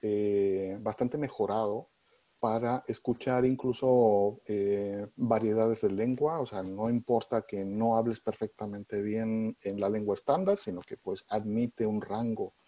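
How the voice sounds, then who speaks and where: A man, a professor from Spain, explaining things in a relaxed interview with frequent pauses and restarts. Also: lowish voice, dry recording. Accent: neutralized Spanish, mixing Spain and Mexico.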